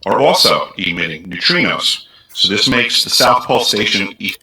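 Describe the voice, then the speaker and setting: Bright-voiced man giving a rapid briefing. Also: lowish voice, very animated, low-quality recording. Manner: rapid, matter-of-fact listing. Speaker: presenter in a played clip. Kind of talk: briefing on research.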